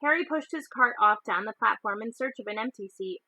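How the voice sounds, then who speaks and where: A woman's voice: on the high side, lively intonation, brisk, sharp tone, no echo, rough recording. A woman reading a children's novel aloud as a storyteller. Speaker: storybook reader.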